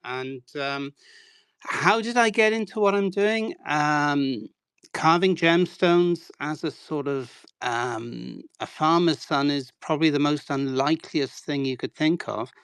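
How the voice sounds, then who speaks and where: Sixties man from Wales, rambling guest with hedging fillers, smooth voice, dry close sound. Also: a little high, a little slow, fairly bright tone, dry recording. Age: 60s. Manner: rambling, self-deprecating, many hedging fillers. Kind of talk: relaxed interview storytelling.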